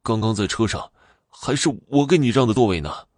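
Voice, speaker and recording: A man's voice: lively intonation, a little fast, darkish tone, no room sound, studio quality. A man voicing a frightened, earnest young man in a horror story, speaking urgently and pleadingly. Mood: scared, begging. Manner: urgent, pleading, higher-pitched delivery. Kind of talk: audio horror storybook character voice.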